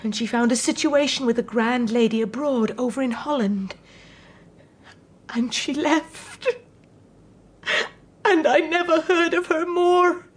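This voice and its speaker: A woman's voice: sits high, unhurried, long pauses. An actress playing an anguished, devout old mother in a period audio drama, haunted by her own curse and given to whispers and cries. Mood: sorrowful.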